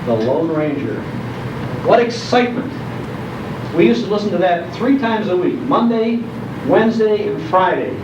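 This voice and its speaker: A man's voice: high voice, sing-song, normal speed. An older American man from Chicago giving a humorous, nostalgic storytelling talk to a live audience. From Chicago, United States. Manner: storytelling, rhetorical questions, humorous. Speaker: public speaker on old-time radio. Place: indoor program hall before an audience. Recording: echoey hall, distant mic, noisy, dull.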